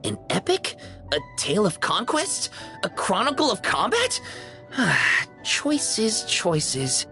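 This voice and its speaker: A bubbly, courteous toy concierge, swooping intonation. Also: female voice, mid-range voice, wildly swooping pitch, takes it slowly, bright, forceful tone, faint music behind, heavily acted. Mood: enraptured, theatrical. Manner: courteous, theatrical, effusive. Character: polite, bubbly living stuffed toy concierge. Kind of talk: scripted video game character acting.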